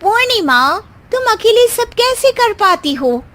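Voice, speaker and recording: A woman's voice: very high voice, very animated, measured pace, fairly bright tone, voice pushed up, exaggerated voice. A woman voicing a chatty young wife at a party in a cartoon, asking curious questions.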